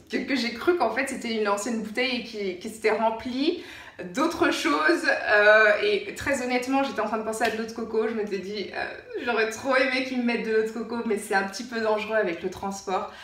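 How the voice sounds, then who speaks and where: A woman's voice: a little high, a little fast, a bit bright. French woman vlogging in an echoey room. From France. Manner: informal, repeats words for emphasis. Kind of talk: casual chatty show-and-tell vlog. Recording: roomy echo, distant mic, faint noise.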